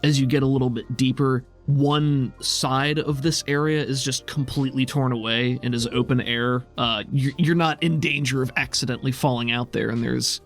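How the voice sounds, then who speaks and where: A man's voice: medium pitch, fairly even intonation, normal speed, hardly any pauses, faint music behind. A man narrating a tabletop roleplaying game as game master, conversational and descriptive.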